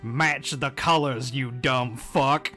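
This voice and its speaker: A man's voice: medium pitch, steady tone, takes it slowly, pushed and bright, faint music behind. A man voicing a blunt, greedy video game shopkeeper who lectures pompously and insults his customers with crude asides. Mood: contemptuous, greedy. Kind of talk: amateur fandub game dialogue.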